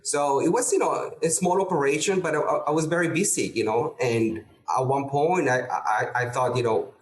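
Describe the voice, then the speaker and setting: A middle-aged man answers interview questions casually, stumbling through restarts and fillers. His voice sits at a medium pitch. The recording has a roomy, echoey sound. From Peru. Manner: halting, many restarts and fillers. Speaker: guest real estate investor.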